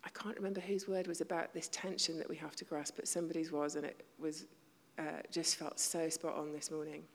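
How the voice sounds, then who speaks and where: Low, gravelly woman preaching in a reverberant hall. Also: flat delivery, fairly quick. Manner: conversational, self-deprecating, with hushed asides. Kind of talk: sermon.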